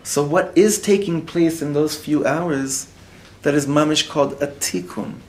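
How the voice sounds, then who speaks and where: A man's voice: a little high, steady tone, unhurried, a little mellow, slight background noise. A man, a rabbi, teaching a live class to an audience in the room, mixing Hebrew and Yiddish words into his talk and putting questions to his listeners. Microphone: recorded for web students.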